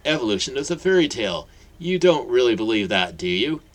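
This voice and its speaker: A man voicing a big, friendly bearded man who speaks brightly and emphatically. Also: lowish voice, very animated, moderate pace, breathy edge, exaggerated voice. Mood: insistent.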